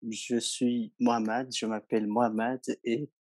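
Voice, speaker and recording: A man from Iran, a French-speaking tour guide, presenting a trip slowly with many hesitations. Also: mid-range voice, flat delivery, darkish tone, no echo. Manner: slow, hesitant, many fillers. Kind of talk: webinar presentation.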